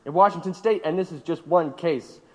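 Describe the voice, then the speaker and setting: An actor playing a stern, formal federal agent interrogator in a period radio drama. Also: male voice, a little high, darkish tone, close to an everyday voice. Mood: officious, controlled. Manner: formal, measured, officious. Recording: reverberant hall-like room.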